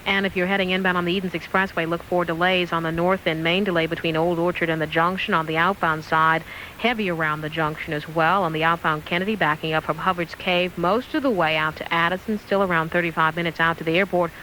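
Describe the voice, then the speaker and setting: A woman's voice: lowish voice, steady tone, fast, sharp tone, low-quality recording. An American woman giving a radio traffic report.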